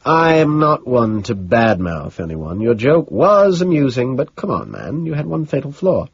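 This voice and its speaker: A man's voice: mid-range voice, sing-song, moderate pace, husky, no echo, lo-fi sound, voice pushed up. A man voicing a smug, dismissive, upper-class socialite with an affected, condescending drawl.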